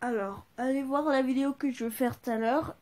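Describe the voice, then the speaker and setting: Impatient high-voiced vlog host. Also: female voice, fairly even intonation, a little fast, a little mellow. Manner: impatient, repeats the same question. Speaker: channel host. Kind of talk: casual vlog chat.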